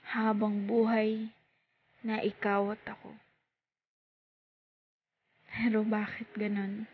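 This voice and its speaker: Slow husky Filipino woman, phone-like sound. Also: mid-range voice, monotone. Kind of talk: spoken-word poetry, dramatic heartfelt monologue.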